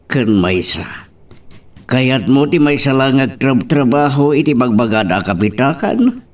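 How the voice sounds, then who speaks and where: A man's voice: mid-range voice, sing-song, a little slow. Casting: male actor as older rural dad, very swooping intonation, plain-spoken but fond, for a radio romance drama. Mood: wary, questioning. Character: older village father, plain-spoken, conceding, fond of his daughter. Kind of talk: Ilocano radio drama acting.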